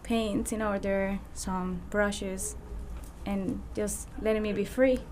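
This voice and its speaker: A woman from Venezuela, a guest artist telling her story in an unhurried way. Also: medium pitch, little pitch movement, darkish tone, a little hiss or hum. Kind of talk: casual interview talk.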